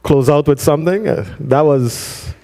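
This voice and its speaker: A man chairs a legislative committee and formally recognizes speakers. His voice is mellow and smooth, with a pitch somewhat above average. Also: unhurried. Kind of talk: chairing a hearing.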